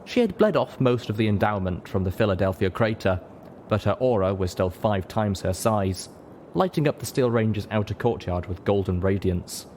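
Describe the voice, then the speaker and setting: A man with a fairly low voice narrates a first-person story. He reads from a script in audiobook style. Clear noise runs behind his voice. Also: animated, moderate pace, darkish tone.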